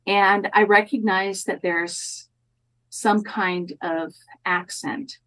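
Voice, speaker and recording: A woman community college educator speaking as a podcast guest, careful and measured, often restarting her phrases. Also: mid-range voice, rough recording. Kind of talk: thoughtful podcast interview talk.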